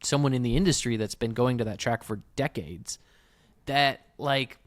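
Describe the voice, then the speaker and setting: Medium-pitched male podcaster, thinking aloud. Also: normal speed, dry recording. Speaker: podcast co-host. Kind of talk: casual motorsports discussion.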